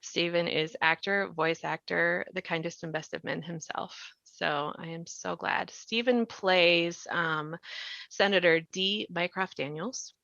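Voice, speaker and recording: A woman's voice: on the low side, steady tone, measured pace, gravelly, sharp tone, no room sound, lo-fi sound. A woman hosting a podcast discussion, speaking earnestly and effusively as she introduces things. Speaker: host, writer and podcast voice actor. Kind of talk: spoken introduction to a behind-the-scenes podcast discussion.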